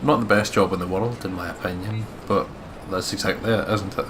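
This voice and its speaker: A man's voice: lowish voice, fairly even intonation, brisk, over music. A man reading visual novel narration aloud as a let's play co-reader, breaking into casual asides.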